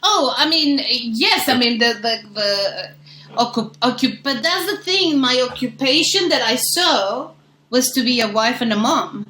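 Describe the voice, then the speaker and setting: A woman's voice: on the high side, animated, measured pace, bright, forceful tone, roomy sound. A woman in her sixties from Mexico City with a Mexican accent and Spanish as her first language, telling stories as a podcast guest with a wry, self-mocking manner. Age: 60s. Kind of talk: casual chat.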